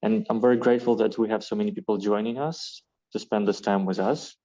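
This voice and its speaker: Club president from ukraine opening a video call, kinda low voice, lots of uhs, muffled lo-fi audio. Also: male voice, fairly even intonation, brisk, mellow. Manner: hesitant, friendly, many fillers. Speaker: club president hosting the meeting. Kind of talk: online meeting host chat.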